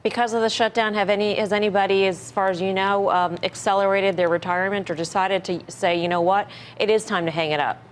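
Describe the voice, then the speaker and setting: A woman's voice: medium pitch, fairly even intonation, quick pace, few pauses, slight background noise. A woman interviewing a guest on live TV news with pointed, probing questions.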